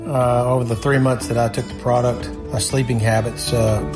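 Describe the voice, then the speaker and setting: A man giving a halting radio ad testimonial, pausing often with fillers. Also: mid-range voice, level tone, over music. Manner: halting, hesitant, full of "uh". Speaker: testimonial speaker in an ad.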